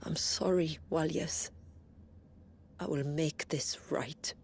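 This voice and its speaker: Solemn low-voiced woman storyteller. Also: steady tone, vocal fry, a little hiss or hum, exaggerated voice. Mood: sorrowful. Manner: measured, formal, earnest. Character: grave village woman recounting her people's tragic past. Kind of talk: solemn scripted storytelling in video game drama.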